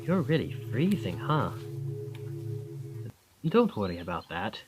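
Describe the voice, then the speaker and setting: Man acting a slow, coaxing, possessive young charmer. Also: fairly low pitch, huge pitch swings, over music, heavily acted. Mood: friendly, coaxing. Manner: coaxing, intimate, unhurried. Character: charming young male college student turning possessive, otherworldly captor. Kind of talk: ASMR roleplay acting.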